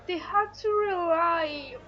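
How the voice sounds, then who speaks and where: A woman's voice: extremely high pitch, slow pace, highs cut off. A young woman acting in an audio drama as an earnest woman who is firm in her convictions. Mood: argumentative. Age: young adult. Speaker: student actor.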